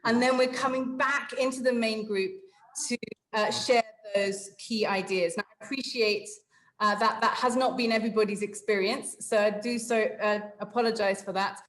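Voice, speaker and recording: A woman with an English accent, pitched a little high, leads a video-call meeting. She explains each step patiently, with plenty of ums and apologies, and her voice rings in an echoey room. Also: steady tone, normal speed. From England. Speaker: webinar facilitator. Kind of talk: hosting an online meeting.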